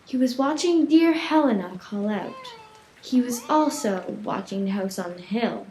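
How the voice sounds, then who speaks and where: A woman's voice: a little high, expressive, unhurried, dark tone. A woman narrating a children's radio play in scripted storytelling style. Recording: echoey room, faint noise.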